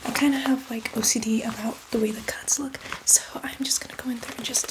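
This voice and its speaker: A woman's voice: a little high, fairly even intonation, fast, noisy background, close to an everyday voice. Woman playing a whispering, chatty zombie in ASMR. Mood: eager, apologetic. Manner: rambling, reassuring, casual. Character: chatty female zombie, eager, apologetic, fussy, casually bloodthirsty.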